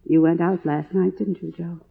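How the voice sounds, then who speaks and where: Theatrical, needy faded film star, tinny radio. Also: female voice, lowish voice, fairly even intonation, gravelly, slightly rough. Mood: pleading, possessive. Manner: theatrical, halting, drawn-out phrases. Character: faded, needy, grandiose silent-film star, middle-aged woman. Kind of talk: mid-century radio drama acting. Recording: tinny, narrow old radio broadcast.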